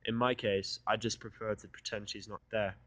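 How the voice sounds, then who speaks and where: A man's voice: on the low side, flat delivery, normal speed, a bit bright, no room sound. Dry-sounding man narrating a story. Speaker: audiobook narrator. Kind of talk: first-person fan fiction narration.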